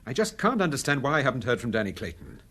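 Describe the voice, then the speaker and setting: An actor playing an urbane British gentleman detective in a radio drama, husky-voiced, clipped and well-mannered. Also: mid-range voice, sing-song, quick pace, soft background music. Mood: edgy.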